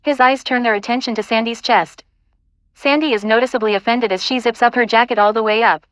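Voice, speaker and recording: A woman's voice: fairly high pitch, fairly even intonation, fairly quick, a bit bright, dry recording, poor audio. A woman reading scripted narration and stage directions for an audio play.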